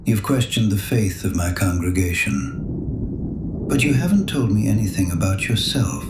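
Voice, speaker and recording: Echoey, noisy room, male actor as elderly priest, low voice, patient, grave, gently reproving, audio drama. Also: expressive, moderate pace, close to an everyday voice. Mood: steady, questioning. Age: older. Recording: echoey room with audible background noise.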